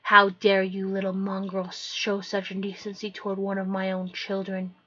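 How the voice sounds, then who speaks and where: A woman's voice: medium pitch, completely flat delivery, normal speed, sharp tone, no room sound, lo-fi sound. A woman voicing a grand, commanding male sea king who is half siren.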